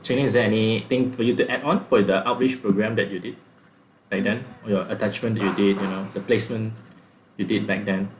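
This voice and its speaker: A man's voice: fairly low pitch, steady tone, fairly quick. A man moderating a career talk in a conversational style, putting questions to his guests in an echoey room. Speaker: host, moderator. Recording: echoey room with faint noise.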